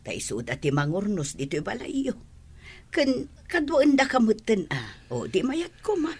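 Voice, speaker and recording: A woman's voice: mid-range voice, wildly swooping pitch, a little slow, a little mellow, husky, heavily acted. A woman playing a practical, middle-aged family woman who scolds and gives orders. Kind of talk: radio drama acting.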